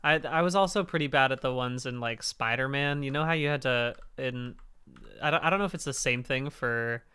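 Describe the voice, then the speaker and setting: Male host, hoarse and raspy, bright tone, rambling gamer chat. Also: fairly quick. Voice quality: deeper raspy register. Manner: rambling, self-interrupting. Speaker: stream host. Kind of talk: casual gaming chat on a charity stream.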